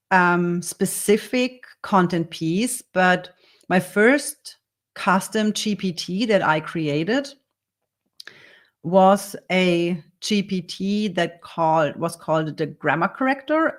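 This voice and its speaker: A woman with a German accent, a native German speaker, calmly talking through her answers in English as a copywriter teaching a workshop. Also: fairly low pitch, takes it slowly. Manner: earnest, explains step by step in second-language English. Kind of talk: dictated spoken answers in a workshop demo.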